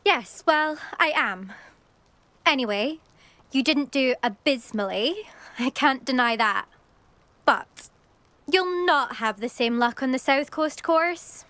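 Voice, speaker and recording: A woman's voice: high-pitched, animated, slow pace, pushed and bright, no echo, exaggerated voice. A young woman with a British accent playing a brash, competitive teenage racing champion in a video game, haughty and grudging with praise. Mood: grudging respect.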